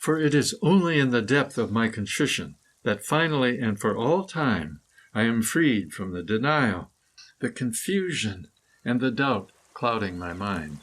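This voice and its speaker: A man reading a scripted, poetic guided meditation in measured, deliberate phrases with pauses. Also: medium pitch, expressive, a bit bright. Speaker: guided meditation reader, author.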